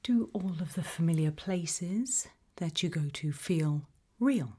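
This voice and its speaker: A British woman speaking in a soft, breathy, mostly whispered ASMR style, gently asking measured questions as an interviewer in a roleplay. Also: fairly low pitch, expressive. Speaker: ASMR roleplayer as an abduction investigator.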